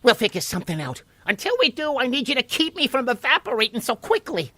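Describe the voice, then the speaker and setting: Whiny eager lil water molecule, squeaky bright voice, dry close sound, radio play for kids. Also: male voice, very animated, fast, no echo, full character voice. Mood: eager, scheming. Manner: eager, complaining, urgent. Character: restless, self-important little male water molecule, fed up. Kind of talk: children's radio play acting.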